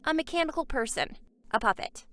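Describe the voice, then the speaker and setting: A woman's voice: high-pitched, lively intonation, brisk, sharp tone. A woman voicing a refined, haughty, scholarly young woman in an anime fandub, speaking with formal, precise diction. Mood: explaining, impressed.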